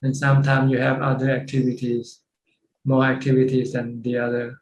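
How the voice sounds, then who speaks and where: A man's voice: medium pitch, completely flat delivery, normal speed. A man, a Buddhist monk with a Thai accent, patiently explaining Buddhist practice over a video call, often repeating words as he goes. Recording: echoey video call audio.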